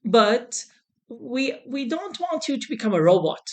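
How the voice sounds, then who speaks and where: Slow, deliberate woman teaching. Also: a little high, very animated. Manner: deliberate, repeats key points, reassuring. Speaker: English teacher giving exam tips. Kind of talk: instructional lesson to camera.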